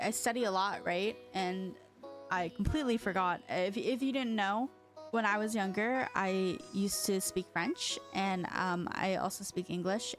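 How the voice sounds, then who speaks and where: Chatty young woman from China, rambling. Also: medium pitch. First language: Chinese. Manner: rambling, chatty, lots of fillers and restarts. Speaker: streamer. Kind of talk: casual chat stream.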